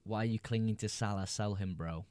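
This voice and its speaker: A man's voice: on the low side, brisk, a little mellow, no echo. A young man hosting a casual livestream, reading viewers' chat messages aloud and answering them in a laid-back way. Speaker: livestream host.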